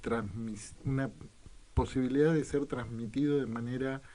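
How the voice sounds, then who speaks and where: A man co-hosting a casual radio talk show, speaking reflectively and hesitantly with many pauses and fillers. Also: mid-range voice, steady tone, mellow.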